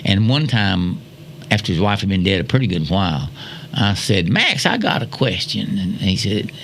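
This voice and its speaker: A man's voice: fairly low pitch, sing-song, normal speed, vocal fry, a bit bright, slight background noise. A man in his seventies with a Southern American accent, telling folksy reminiscences in a rambling way, repeating phrases for emphasis. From Wilkes County, North Carolina, USA. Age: 70s. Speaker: storyteller guest.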